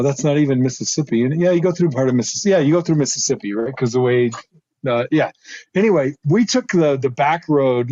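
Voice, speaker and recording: A middle-aged man, a former football lineman, co-hosting a casual podcast and telling a rambling story, often laughing. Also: no room sound. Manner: rambling storyteller, laughs often, jumps pitch when excited. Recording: slightly dull lo-fi sound.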